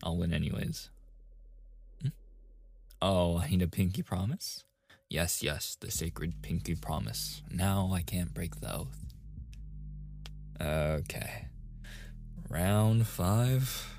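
A man's voice: deep voice, expressive, slow pace, vocal fry, long pauses, a little mellow, soft background music, heavily acted. A man playing a playful, easily flustered young gamer boyfriend in a casual, intimate ASMR roleplay. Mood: amused, unworried. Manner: casual, intimate, with chuckles and sighs.

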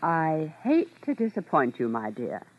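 A woman's voice: low-pitched, huge pitch swings, a little slow, slightly rough, heavily acted. An actress playing a haughty, condescending woman with formal manners in a 1940s radio drama. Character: haughty, indignant woman, coolly condescending and composed. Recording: muffled old radio recording.

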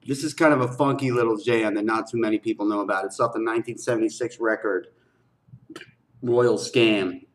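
Man reacting to music, repeating himself. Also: on the low side. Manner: repeats phrases emphatically, slangy. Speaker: reaction video host. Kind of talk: casual music reaction commentary.

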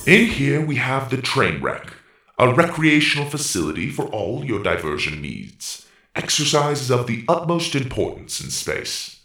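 A man voicing a brisk, formal robot tour guide in a children's audiobook, precise and announcing, with a roomy echo. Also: medium pitch, very animated, creaky, pushed and bright, heavily acted. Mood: brisk, instructive. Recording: roomy, echoing voice.

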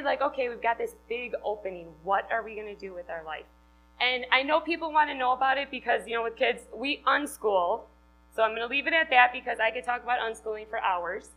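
A woman's voice: sits high, pushed and bright, slight background noise, low-quality recording. A woman in her thirties from Wisconsin with a Wisconsin accent, giving a chatty, upbeat talk to a live audience and laughing often. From Wisconsin, USA. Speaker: conference speaker.

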